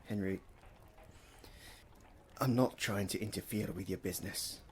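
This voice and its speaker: Male actor as concerned gentleman lawyer, fairly low, courteous, measured, period drama, faint noise. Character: kindly, concerned, proper Victorian gentleman lawyer. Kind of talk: period stage drama acting.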